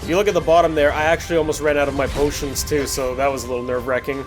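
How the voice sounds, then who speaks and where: A man with a fairly high-set voice gives casual video game commentary as the host. He talks in a chatty, reflective way, often hesitating partway through a thought. Music plays clearly behind him. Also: level tone, rapid-fire. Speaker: host of a gameplay series. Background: music under the voice.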